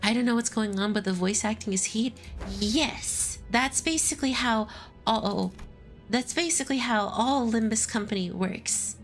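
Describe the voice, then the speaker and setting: A woman's voice: fairly high pitch, moderate pace, faint music behind. A woman streamer giving casual, rambling commentary while playing a game, often giggling. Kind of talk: casual commentary over gameplay.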